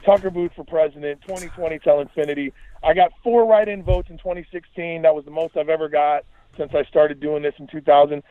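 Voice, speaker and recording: A man's voice: on the high side, steady tone, brisk, dry recording. A man talking as a podcast guest, giving emphatic, opinionated rants in slangy conversational speech. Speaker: podcast guest, musician and writer. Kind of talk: animated ranting conversation. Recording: thin phone-like line.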